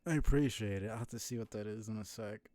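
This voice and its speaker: A man's voice: lowish voice, fast, warm tone, dry recording. A man doing casual live commentary as he plays a video game, muttering to himself with mild swearing.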